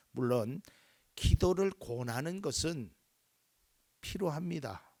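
Animated gravelly-voiced male pastor. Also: on the high side, dry recording, clean studio sound. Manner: animated preaching, acting out quoted voices, drops to near whispers. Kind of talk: sermon.

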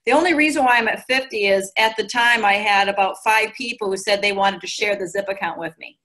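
A woman in her forties giving chatty, animated coaching advice over a video call from her living room. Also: mid-range voice, a little fast, poor audio. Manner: chatty and animated, gestures a lot. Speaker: guest recruiter. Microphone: video call laptop mic.

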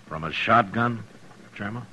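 Radio western marshal, very deep, forceful, steady and dry. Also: male voice, measured pace, a little hiss or hum, poor audio. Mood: dry, probing. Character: steady, dry-spoken frontier marshal of the Old West. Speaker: lead actor and narrator. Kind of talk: 1950s radio western acting and narration.

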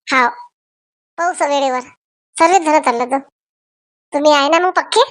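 A woman voicing an excitable, fussing rural auntie in a homemade comedy cartoon, exclaiming and repeating herself in Varhadi Marathi. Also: high voice, normal speed, dry recording, clean studio sound, lightly acted. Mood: chatty. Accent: Varhadi Marathi from Vidarbha. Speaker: cartoon channel's main character and presenter.

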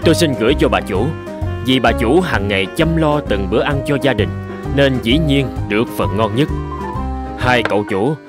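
An actor playing a poor, gentle peasant father, polite and earnest, in an animated folk tale. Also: mid-range voice, moderate pace, over music, lightly acted. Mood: humble, polite.

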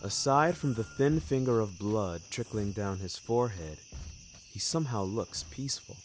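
A man's voice: lowish voice, expressive, moderate pace, slight background noise. A man narrating a scripted first-person audio story in a theatrical, literary manner.

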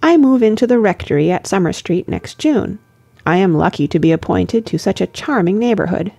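A woman reader portrays a sociable clergyman in a classic novel. He speaks with measured, genial courtesy in a mellow, creaky voice. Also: medium pitch, expressive, no echo. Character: pleasant, courteous male clergyman, sociable and advising.

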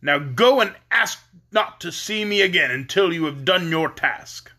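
Storybook wizard, a giant head, read by a man: stern, commanding, raised and swooping, rough-edged. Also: high voice, animated, pushed and bright, pitched above the performer's own voice, exaggerated voice. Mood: stern, demanding. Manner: commanding, emphatic, drawn-out words. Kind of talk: storybook character reading.

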